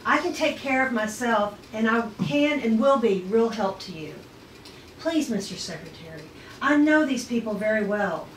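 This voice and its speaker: A woman's voice: a little high, expressive, moderate pace, lightly acted. A woman reading the part of a defiant, self-assured young astronavigator in a retro space adventure table read. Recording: echoey room, distant mic, faint noise.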